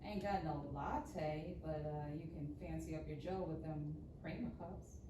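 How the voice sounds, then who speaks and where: Woman acting a low-voiced folksy diner waitress. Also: measured pace. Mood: unimpressed. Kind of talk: live table read of comedy script. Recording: echoey room, distant mic, clear noise.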